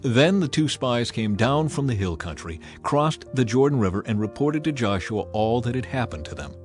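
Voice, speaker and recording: A man reading scripture as a dramatized narration, in a solemn, measured storytelling way. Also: medium pitch, lively intonation, soft background music. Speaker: Bible narrator.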